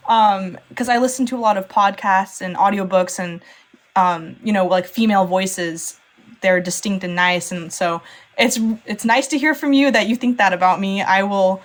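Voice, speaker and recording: A woman's voice: mid-range voice, normal speed. Modest young woman on a video call. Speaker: program producer. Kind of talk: casual chat on a video call.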